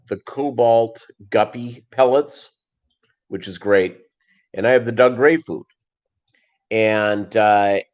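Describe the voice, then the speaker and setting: A middle-aged American man chatting casually and unscripted on a livestream, rambling and halting with a jokey manner. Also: on the low side. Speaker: fishkeeping livestream host and voiceover performer. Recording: dull lo-fi livestream audio.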